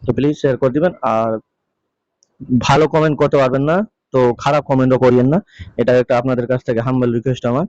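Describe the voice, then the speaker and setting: A man's voice: mid-range voice, steady tone, rapid-fire, darkish tone, dry recording. A man hosting a casual vlog update, talking in a repetitive, pleading way. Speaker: video host. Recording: dull, lo-fi close dry sound.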